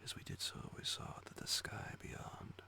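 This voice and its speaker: A man reading a horror story as an ASMR bedtime narration, in a breathy, hushed whisper with deliberate phrasing. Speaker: audiobook reader of horror stories.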